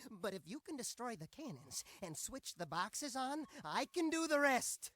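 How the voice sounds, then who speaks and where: A man's voice: extremely high pitch, big pitch swings, a little slow, fairly bright tone, exaggerated voice. An actor voicing a fretful male tech genius in a video game, talking in nervous technical rambles. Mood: fretful, rambling. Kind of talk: animated video game cutscene acting.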